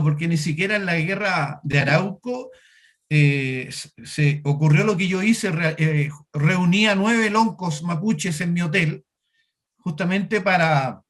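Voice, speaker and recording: A Chilean man on a video call, his voice sitting a little high for a man. He tells his story at length, hesitating often and circling back to restart his sentences. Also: a bit bright. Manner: halting, many fillers and restarts, storytelling. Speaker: interview guest. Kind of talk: conversational interview over a video call.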